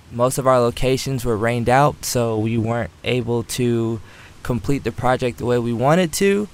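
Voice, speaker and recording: A cinematographer telling a story about his own mishap on set, casually and with self-deprecating humor. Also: mid-range voice. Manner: animated, self-deprecating, acts out quotes. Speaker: cinematographer guest.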